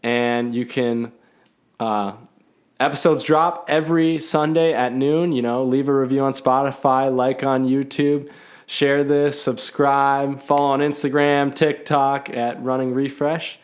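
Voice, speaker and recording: A man's voice: medium pitch, steady tone, a little slow. A young man hosting a casual podcast chat, teasing his guest and laughing often. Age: young adult. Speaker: podcast host.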